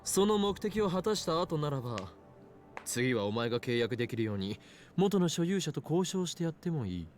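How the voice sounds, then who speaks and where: A man's voice: on the high side, big pitch swings, racing, a little mellow. A man playing a grandiose young self-styled mad scientist in an anime visual novel, pompous and theatrical in delivery. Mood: grudging, conceding. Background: game music.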